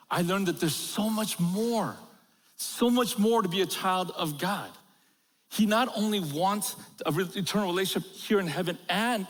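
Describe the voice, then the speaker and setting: Middle-aged pastor guy cracking jokes in his sermon, kinda high voice, big reverby church hall. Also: fairly bright tone. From Korea, raised in America. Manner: humorous storytelling, laughs at own jokes. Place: large church auditorium.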